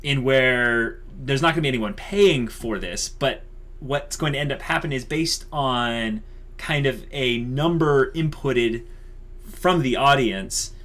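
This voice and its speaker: A man's voice: mid-range voice, a little slow, fairly bright tone. A man co-hosting a YouTube channel, chatting casually and unscripted in an enthusiastic, emphatic way, repeating himself for emphasis.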